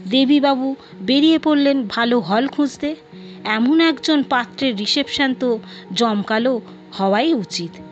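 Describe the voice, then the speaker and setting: A woman narrating a scripted story and acting out its dialogue. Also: high-pitched. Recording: dull lo-fi sound with music behind. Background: background music.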